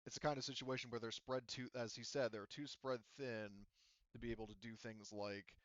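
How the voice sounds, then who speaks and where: A man running a tabletop game as game master, explaining the situation off the cuff in a halting, rambling way and often qualifying himself. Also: medium pitch, steady tone, dry recording, dull audio.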